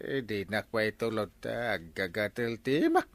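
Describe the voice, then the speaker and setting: An actor playing a boastful, hot-headed village captain in an Ilocano radio comedy, blustering and insistent, with an Ilocano accent. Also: male voice, on the low side, animated, normal speed, dry recording. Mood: stubborn, boastful. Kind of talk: broadcast comedy drama acting in Ilocano.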